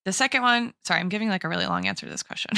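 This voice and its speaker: A woman's voice: medium pitch, fast, creaky, sharp tone, no echo, clean studio sound. A woman co-hosting a podcast, talking through arguments in an academic way, with frequent "like" and "right" as she goes. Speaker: podcast co-host. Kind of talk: analytical discussion.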